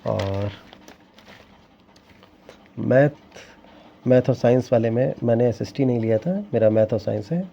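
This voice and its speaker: A man's voice: medium pitch, unhurried, creaky, pauses often, mellow. A young man talking casually to his viewers, rambling with frequent restarts and sounding regretful. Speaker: teacher exam candidate sharing his scores. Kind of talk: casual vlog talk to viewers. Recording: dull lo-fi sound with faint ambience.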